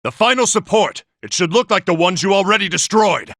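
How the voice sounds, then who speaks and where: An actor playing a commanding, impatient giant robot military tactician in a video game, with a gravelly, raspy voice and a forceful delivery. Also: male voice, sits high, expressive, fairly quick, dry recording. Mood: commanding, tense. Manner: forceful, barking orders. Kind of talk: video game character acting.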